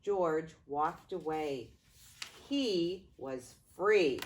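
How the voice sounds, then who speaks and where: A woman's voice: sits low, slight background noise. An older woman reading a picture book aloud to young grandchildren, expressively, with playful asides to the listeners. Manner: expressive, unhurried, addressing small children.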